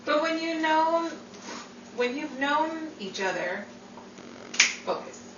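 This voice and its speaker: Giggly woman chatting, pitch jumps around, echoey room with noise. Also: slow, distant mic, dull audio. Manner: giggly, animated. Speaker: radio drama actor and co-host. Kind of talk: animated casual chat among castmates.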